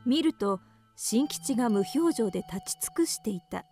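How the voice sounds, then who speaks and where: Female narrator for a radio horror drama, higher-pitched, faint music behind. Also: expressive, normal speed, warm tone.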